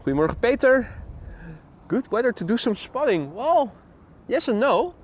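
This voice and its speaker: Dutch-accented man, high-pitched, casual live commentary, laughs a lot, outdoors. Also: big pitch swings, normal speed. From Netherlands. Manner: chatty, laughs often, reads chat aloud. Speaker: live stream host, plane spotter. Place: outdoors at an airport.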